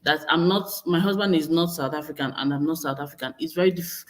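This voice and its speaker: A woman's voice: sits low, fast, a little hiss or hum. A woman in her thirties with a Nigerian accent, talking casually to camera, often repeating and correcting herself. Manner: chatty, repeats and corrects herself, reads viewer comments aloud. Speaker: vlogger.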